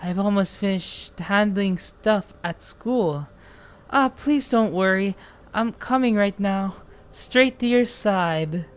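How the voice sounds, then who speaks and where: A woman's voice: medium pitch, close to an everyday voice. Woman acting a creepy, obsessive younger brother. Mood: eerily tender. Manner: slow, courtly, ominously affectionate.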